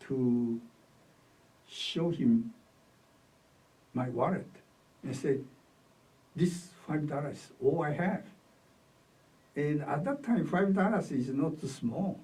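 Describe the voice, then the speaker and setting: Slow-speaking older Taiwanese-accented man, distant mic. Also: medium pitch, mellow. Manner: halting, pauses between short phrases. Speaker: interviewee, retired academic. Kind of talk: oral history interview, reminiscing. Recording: distant microphone.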